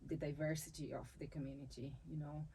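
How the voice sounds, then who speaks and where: A woman with a Brazilian accent speaks in a low, gravelly voice with a warm, mellow tone. She talks thoughtfully in an interview, restarting phrases as she goes and laughing easily. A faint hum of room noise sits under her. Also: level tone, fast, low-quality recording. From Salvador, Bahia, Brazil. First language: Portuguese. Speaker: dancer and capoeira instructor, interview guest. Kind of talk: conversational interview.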